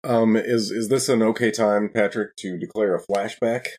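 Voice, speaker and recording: Low-pitched, husky, hesitant man at game. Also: steady tone, moderate pace, a little mellow. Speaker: tabletop role-playing player. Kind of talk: casual in-character tabletop game talk.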